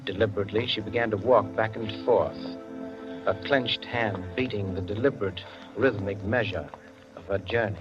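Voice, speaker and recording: A man narrating a scripted 1940s radio drama in a measured, literary, dramatic manner. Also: medium pitch, lightly acted. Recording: muffled 1940s radio recording with music.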